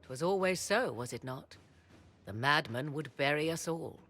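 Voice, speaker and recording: A woman's voice: low voice, lively intonation, normal speed, fairly bright tone. A woman playing a haughty, sardonic sorceress in a video game, speaking in theatrical, archaic phrases. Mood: grim, scornful. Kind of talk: dramatic video game acting.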